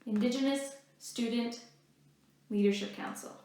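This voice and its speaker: Female library storyteller, graphic-novel read-aloud, higher register, very reverberant room. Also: fairly even intonation, unhurried, mellow.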